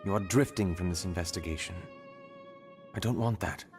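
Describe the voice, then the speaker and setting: An actor playing a steady investigator in a fantasy video game, measured, earnest and authoritative. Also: male voice, deep voice, faint music behind, lightly acted. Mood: concerned. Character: steady, commanding male investigator in fantasy city. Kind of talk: dramatic video game voice acting.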